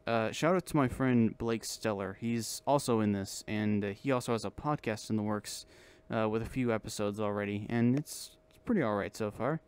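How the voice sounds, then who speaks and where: A man's voice: lowish voice, moderate pace, a little mellow, smooth. A man hosting a casual vlog, chatting in a self-deprecating way. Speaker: video host and amateur voice actor.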